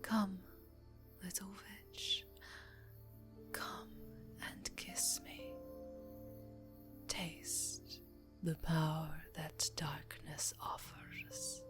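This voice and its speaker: A woman playing a seductive, aristocratic vampire countess in an ASMR roleplay, teasing and formal, with a breathy voice that often drops to a whisper. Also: on the low side, extremely slow, long pauses. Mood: teasing, amorous. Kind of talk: ASMR roleplay, slow intimate acting. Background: music.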